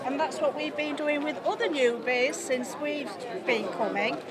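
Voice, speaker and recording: A woman from Blackburn chatting casually and warmly about visiting an event with friends. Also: high-pitched, normal speed. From Blackburn, England. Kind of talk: casual chat. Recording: heavy crowd noise behind.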